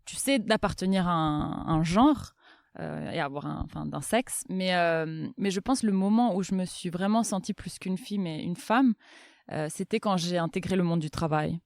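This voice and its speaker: Smooth-voiced woman, hesitant and thoughtful. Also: lowish voice, moderate pace, a little mellow, dry recording, clean studio sound. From Milan, Italy. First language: Italian. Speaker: interview guest, development bank inclusion officer. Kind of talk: casual, thoughtful interview answers.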